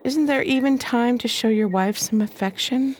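A woman playing a gentle preacher's wife. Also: fairly high pitch, moderate pace, noisy background, natural voice. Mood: caring. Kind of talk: amateur radio drama.

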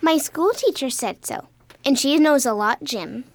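A woman's voice: sits high, lively intonation, a little slow, slight background noise. A woman playing a plucky, earnest little girl who speaks matter-of-factly in a radio play. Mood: fretful.

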